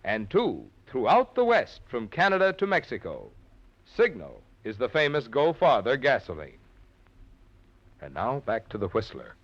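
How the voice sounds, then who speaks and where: A man's voice: medium pitch, wildly swooping pitch, measured pace. A male announcer reading a 1940s radio advertisement in a polished, emphatic, persuasive way. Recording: muffled old radio recording.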